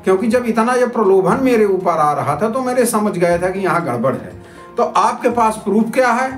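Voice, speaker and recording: Male citizen reporter, high voice, argumentative informal commentary, music underneath. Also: big pitch swings, moderate pace. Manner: argumentative, repetitive, rhetorical questioning. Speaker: citizen journalist presenting a video report. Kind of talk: informal spoken commentary to viewers. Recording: roomy echo with music underneath.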